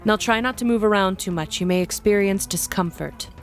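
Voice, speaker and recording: A woman playing a solemn, commanding witch leader in an audio drama, formal and measured. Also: medium pitch, close to an everyday voice. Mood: grave, authoritative. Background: music.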